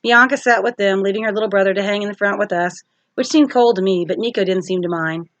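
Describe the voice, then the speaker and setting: A woman's voice: mid-range voice, fast, no room sound, muffled recording. A woman teacher reading a storybook aloud as narration for children.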